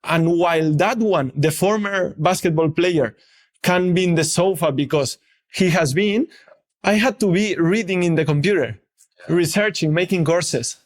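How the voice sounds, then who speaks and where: A man hosting an interview, asking questions carefully and pausing to find his words, with some restarts. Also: high voice. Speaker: interviewer, podcast host.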